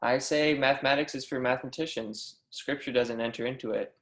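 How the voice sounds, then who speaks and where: A man's voice: mid-range voice, fairly quick, a bit bright, echoey room, lightly acted. A man reading the part of an eager, persistent young mathematics professor, earnest and declamatory, in an amateur readers theatre. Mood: insistent.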